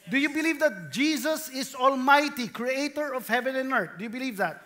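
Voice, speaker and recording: A male pastor with a Filipino accent delivers a sermon in mixed English and Tagalog. His voice is extremely high for a man, with a bright, forceful edge. He is animated and playful, often putting questions to his listeners. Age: 30s. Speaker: pastor preaching.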